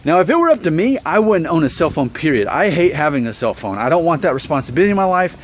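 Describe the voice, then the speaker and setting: A man's voice: on the high side, very animated, fast, a little hiss or hum. A man in his thirties talking casually to viewers in an opinion vlog, conversational and self-deprecating, full of rhetorical questions. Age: 30s. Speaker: vlog host.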